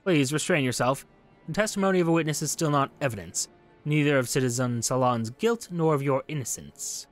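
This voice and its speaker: A severe judge of the revolution, played by a man reading aloud, pitched a little high. He puts formal, probing questions to the accused in a historical game, with faint music behind. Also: fairly quick, close to an everyday voice. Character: stern male revolutionary judge questioning a defendant.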